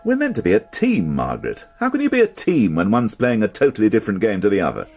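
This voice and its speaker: Police inspector, lowish husky voice, curt, sardonic, dramatically varied pitch, radio crime drama. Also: male voice, wildly swooping pitch, fast talker, darkish tone, faint music behind, heavily acted. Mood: aggrieved. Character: irritable, sardonic, suspicious male police inspector.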